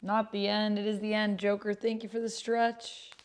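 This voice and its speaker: A woman's voice: medium pitch, flat delivery, normal speed. A woman hosting a livestream in casual, reactive chat, with a playful, exclamatory way of talking. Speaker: streamer and host of an actual-play stream.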